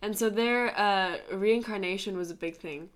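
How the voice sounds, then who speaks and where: A young woman from Italy telling a story on a student podcast in an animated, laughing way. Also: mid-range voice, normal speed. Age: young adult. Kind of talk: casual podcast chat.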